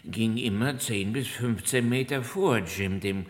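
A man plays a bumbling, dutiful policeman in a comic radio crime play. His low voice is earnest and deferential, explaining everything at pedantic length, and it rings in a long reverb. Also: unhurried, natural voice. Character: bumbling, dutiful, slow-witted male police escort named Amos.

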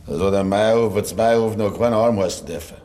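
An actor playing a gruff, stubborn, grieving old farmer in Bavarian dialect in a 1950s radio play, brooding and curt. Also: male voice, lowish voice, fairly quick, mellow, close to an everyday voice. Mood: bitter, gruff. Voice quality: gravelly. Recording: 1950s radio recording with faint hiss.

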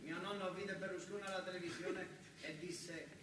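A man's voice: sits high, steady tone, normal speed. A man with a southern Italian accent delivers a theatrical first-person monologue in a colloquial way, with emphatic repetition. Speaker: stage storyteller. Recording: roomy, distant, noisy live recording.

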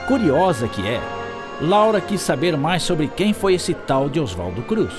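A man's voice: a little high, big pitch swings, measured pace. A man with a Brazilian accent narrating a scripted story for a radio drama. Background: loud music.

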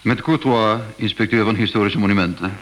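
An actor playing a courteous, eager monuments inspector in a radio play, polite and a little officious. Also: male voice, on the low side, fairly quick, fairly bright tone, slight background noise, natural voice. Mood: polite, intrigued.

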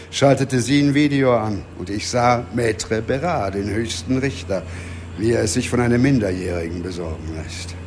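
A man acting an embittered, unyielding investigator in a radio crime drama, speaking deliberately and with emphasis. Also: medium pitch, a little hiss or hum, natural voice. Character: embittered, dogged investigator, persecuted but unyielding.

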